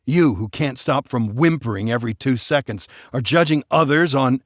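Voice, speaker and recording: A man's voice: medium pitch, moderate pace, dry recording, studio quality, lightly acted. A man voicing a sarcastic young man who mocks the others. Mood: mocking.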